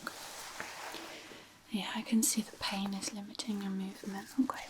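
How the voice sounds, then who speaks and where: A soft-spoken, breathy woman who half-whispers as she gives gentle, hesitant clinical instructions in an ASMR physiotherapy roleplay. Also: mid-range voice, level tone, normal speed, background noise. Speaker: ASMR performer playing a physiotherapist.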